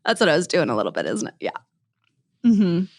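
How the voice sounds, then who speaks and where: A woman's voice: on the low side, sing-song, fairly quick, no echo, studio quality. A woman hosting a podcast interview, speaking candidly and punching key words for emphasis. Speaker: podcast host. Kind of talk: conversational interview.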